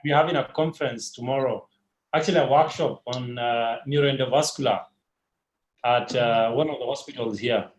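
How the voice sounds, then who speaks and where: A man's voice: mid-range voice, moderate pace, slight background noise, poor audio. A man, a neurosurgery fellow, explaining things in a friendly, direct way on a video call. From Nairobi, Kenya. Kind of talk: casual video call chat.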